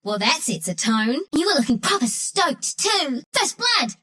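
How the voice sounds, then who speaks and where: A woman's voice: high-pitched, sing-song, measured pace, bright, forceful tone, full character voice. A woman voicing a cheeky, scrappy young squirrel-like bandit heroine in a video game, with a brash, playful, slangy way of talking. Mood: excited, cocky. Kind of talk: video game character voice lines.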